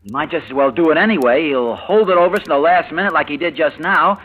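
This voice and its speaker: An actor playing a bitter young husband trapped caring for a rich uncle, tense and brooding, flaring into anger, in an old radio drama. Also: male voice, fairly high pitch, expressive, fast talker, phone-quality sound. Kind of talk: 1940s radio acting. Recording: old radio broadcast with faint hiss.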